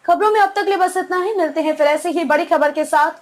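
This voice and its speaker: A woman presents the news from a script. Her voice is high in pitch, and she speaks directly to viewers as an anchor. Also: quick pace, slight background noise.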